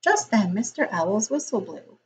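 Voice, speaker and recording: A woman reading a picture book aloud as a teacher would, in a deliberate, expressive storytelling style. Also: mid-range voice, slight background noise.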